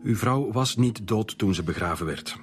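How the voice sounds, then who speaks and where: An actor with a Flemish accent playing a firm, businesslike middle-aged police chief in a radio drama. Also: male voice, fairly low pitch, lively intonation, normal speed, close to an everyday voice. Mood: stern, official. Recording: music behind the voice.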